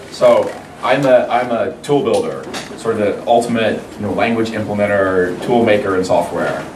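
A middle-aged man with a cold and a hoarse voice, giving a rambling, conversational university lecture with many restarts. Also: on the low side. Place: large lecture hall. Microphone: far room mic. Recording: echoey lecture hall from far off, noisy.

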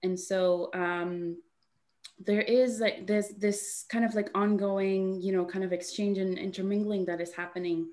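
A young woman from Honduras, a guest artist talking on a video call in a halting way, full of fillers and restarts. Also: medium pitch, steady tone, darkish tone. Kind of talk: casual webinar talk.

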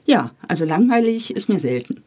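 A woman's voice: mid-range voice, big pitch swings, moderate pace, a little mellow, slightly rough. A woman talking casually as an interview guest, recorded in a studio. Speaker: interview guest, radio editor.

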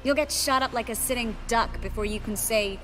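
An actress playing a sharp-tongued, mocking sorceress in a video game, with an arch, sardonic delivery. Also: female voice, on the high side, a little fast, a little hiss or hum.

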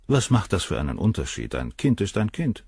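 A man's voice: low-pitched, huge pitch swings, brisk, a little mellow, husky, no echo, studio quality, exaggerated voice. An actor in a German crime radio play playing a cold, cynical middle-aged surgeon, detached and coolly superior as he answers questions. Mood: coolly dismissive.

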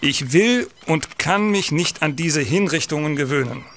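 An actor playing a stern, troubled police senator in a radio play, speaking gravely and emphatically. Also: male voice, fairly high pitch, lively intonation, a little slow, a bit bright, slight background noise. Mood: grave, brooding. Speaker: actor in an audio drama. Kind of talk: German radio play acting.

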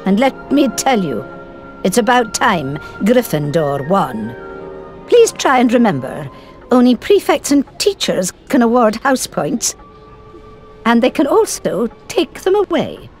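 An actress playing a stern older witch schoolteacher in a video game, brisk and instructive. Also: fairly high pitch, huge pitch swings, a little mellow, faint music behind. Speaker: teacher.

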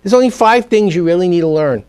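An emphatic, energetic middle-aged man with a high voice, speaking as a guest on a studio talk show. His lapel mic gives a close, clean sound. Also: fast talker, clean tone. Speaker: guest, speed reading teacher. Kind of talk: TV business interview. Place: TV studio set.

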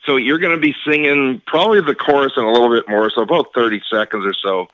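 A man's voice: medium pitch, quick pace, no echo, phone-quality sound. A man from Saskatchewan co-hosting a podcast, teasing his partner in casual chat. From Saskatchewan, Canada. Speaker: podcast co-host.

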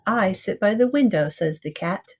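A middle-aged woman reading a picture book aloud to young children in simple, measured storybook phrasing. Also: mid-range voice, expressive, darkish tone, slightly rough. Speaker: preschool teacher reading aloud. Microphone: webcam at arm's length.

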